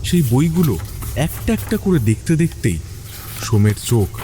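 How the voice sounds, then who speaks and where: A male narrator with a mellow, medium-pitched voice and very expressive intonation tells a suspense story. He phrases deliberately, pausing for drama, over faint ambient noise.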